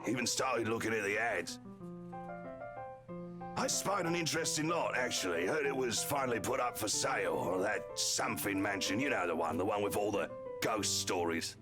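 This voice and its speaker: A man playing a jovial, boastful married police officer who flatters socialites, chatty and theatrical, in a video game. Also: on the low side, moderate pace, lightly acted. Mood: chatty grumbling. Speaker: character voice actor in a visual novel. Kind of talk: voiced game dialogue. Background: music.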